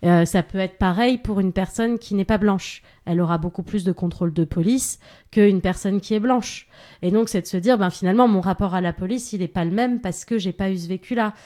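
A woman's voice: mid-range voice, a little fast, mellow. A woman speaking on a radio panel, explaining her points earnestly and hesitating often with filler sounds. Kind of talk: radio discussion.

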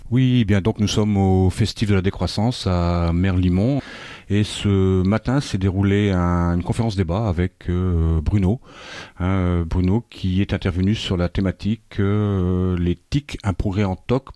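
A man conducting an unscripted radio interview as a field reporter, polite and hesitant, often halting as he goes. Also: sits low, dark tone, no room sound.

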